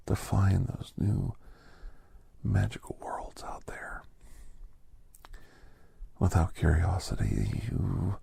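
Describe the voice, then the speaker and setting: A man voicing a kindly, reflective older doctor in soft, half-whispered ASMR storytelling, with breathy, halting short phrases. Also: deep voice, dry recording, heavily acted. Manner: unhurried, pausing between short phrases. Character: older doctor recounting his gentle alien encounters, reflective, kindly.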